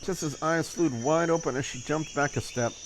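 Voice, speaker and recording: A man's voice: on the high side, normal speed, background noise. A man reading scripted visual novel narration aloud in a dramatic storytelling style. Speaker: reader of a visual novel for a video channel.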